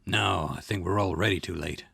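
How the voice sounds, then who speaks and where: A man voicing a seasoned, brusque and wry detective boss in a visual novel, with a gravelly, measured delivery. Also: low-pitched, fairly even intonation, a bit bright, no echo, studio quality, pitched below the performer's own voice, heavily acted. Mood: grim, measured. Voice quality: gravelly, husky. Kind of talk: reading visual novel dialogue in character.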